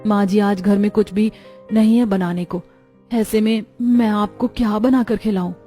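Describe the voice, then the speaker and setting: A mellow, mid-pitched cartoon housewife, acted by a woman, caring and weighed down by hardship. She speaks in an earnest, plaintive, melodramatic way. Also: fairly even intonation, moderate pace, faint music behind, lightly acted. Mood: worried. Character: worried, caring women of a poor household. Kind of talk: children's cartoon dubbing, melodramatic.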